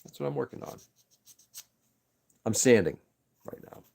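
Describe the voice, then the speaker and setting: A man's voice: medium pitch, animated, a little slow, gravelly, a little mellow. A man whose first language was Greek, chatting casually on a livestream, rambling and greeting viewers by name. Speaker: livestream host.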